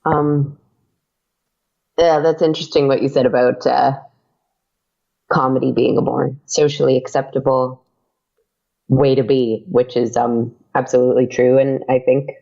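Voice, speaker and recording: A woman with a gravelly, creaky voice chats casually as a podcast guest, stumbling over fillers and restarting her sentences. The recording sounds a little muffled and lo-fi. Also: a bass voice, little pitch movement, unhurried, pauses often, warm tone. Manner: chatty, frequent fillers and restarts. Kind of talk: casual interview chat.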